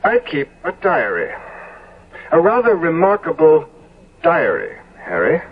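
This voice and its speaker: An actor playing a vain, callous young English gentleman in 1970s radio drama, with a disdainful, theatrical manner. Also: on the high side, huge pitch swings, a little slow, husky, exaggerated voice. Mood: worldly, coaxing. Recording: muffled, noisy old radio broadcast recording.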